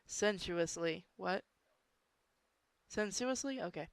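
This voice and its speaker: A woman's voice: medium pitch, takes it slowly, gravelly, clean tone, dry recording. A woman reading a horror story aloud as an amateur, informal, stumbling over words and breaking off into casual asides. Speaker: story reader.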